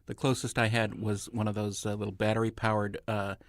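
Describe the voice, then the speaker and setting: A man's voice: fairly low pitch, level tone, fairly quick, no room sound, clean studio sound. A middle-aged man reminiscing casually as a panelist in a group chat. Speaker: panelist on a movie discussion show.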